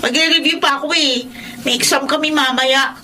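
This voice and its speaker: A woman's voice: high-pitched, fairly even intonation, normal speed, sharp tone, a little hiss or hum. An actress playing a whiny teenage schoolgirl who complains to her mother in a radio drama. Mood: pleading. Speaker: daughter.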